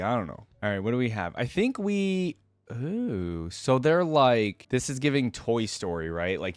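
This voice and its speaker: A man's voice: medium pitch, no room sound. A man giving a casual, upbeat reaction commentary, informal and thinking aloud as he goes. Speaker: reaction video host. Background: faint music.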